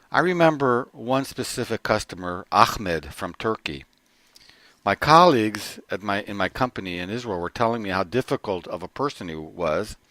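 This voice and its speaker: A middle-aged man giving an earnest personal testimony, often halting and restarting his phrases. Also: mid-range voice, fairly bright tone, no room sound. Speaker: corporate trainer.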